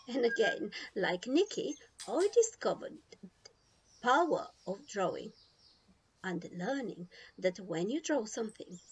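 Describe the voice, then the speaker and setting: A woman reading a children's picture book aloud as an expressive storytime narrator. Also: a little high, poor audio. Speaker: storytime reader. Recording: clear background noise.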